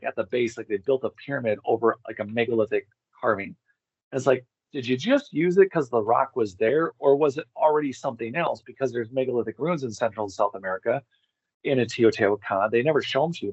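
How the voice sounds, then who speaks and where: A man speaking as an interview guest, in a casual, enthusiastic discussion with a rambling, digressive, excitable manner. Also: mid-range voice, dry recording, lo-fi sound. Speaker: interview guest, amateur megalith researcher.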